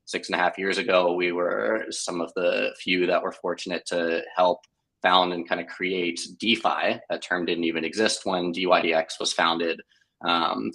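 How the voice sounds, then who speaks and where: A man in his thirties with a very deep voice talks through the story of his company in an even, explanatory way. He sounds slightly hoarse, and he speaks over a live online call. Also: poor audio. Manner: measured, explanatory, occasional filler. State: slightly hoarse from many meetings. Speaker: company founder being interviewed. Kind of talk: conversational explanation.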